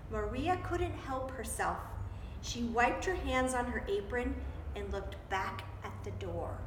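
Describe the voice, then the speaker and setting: A woman reading a children's storybook aloud in an animated, dramatic way, pausing to put questions to her young listeners. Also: a little high. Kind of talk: expressive children's storybook read-aloud with asides. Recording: roomy echo, background noise.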